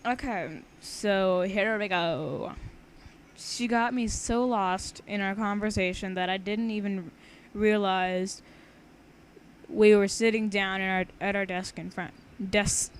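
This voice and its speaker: A young woman reading a story aloud casually with banter, informal and giggly, often stumbling over her words. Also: medium pitch. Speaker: amateur reader of own fan fiction. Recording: noisy homemade amateur recording.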